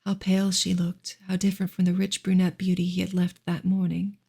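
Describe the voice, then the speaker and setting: A woman reading a novel softly in ASMR style, gentle and unhurried, often slipping into a whisper. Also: fairly low pitch, monotone. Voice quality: soft, breathy, often dropping into a whisper. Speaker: ASMR reader and streamer.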